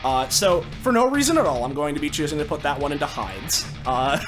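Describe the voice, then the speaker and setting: A man talking as a player in a tabletop game, improvising narration and rules talk in a halting, casually sweary way. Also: a little high, sing-song. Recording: loud music behind the voice. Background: music.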